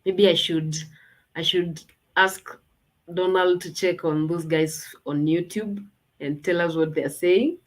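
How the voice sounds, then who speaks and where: A woman's voice: lowish voice, fairly even intonation, slow pace, rough recording. A woman hosting a casual online launch party over a video call, chatty and thinking aloud as she talks. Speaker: host.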